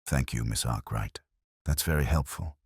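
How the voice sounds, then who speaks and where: Deep, unassuming police inspector played by a man. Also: husky, dry recording, clean studio sound, exaggerated voice. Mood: polite, probing. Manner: measured, unassuming questioning. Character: polite, quiet-witted male police inspector.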